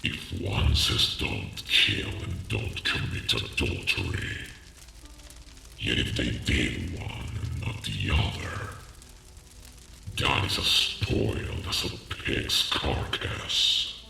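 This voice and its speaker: A man voicing a huge, ancient, proud dragon in a deep gravelly growl, with slow, solemn, archaic speech in a fantasy audio drama. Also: animated, sharp tone, full character voice. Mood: stern, solemn. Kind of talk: fantasy audio roleplay acting. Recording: distant, heavily echoing, music behind.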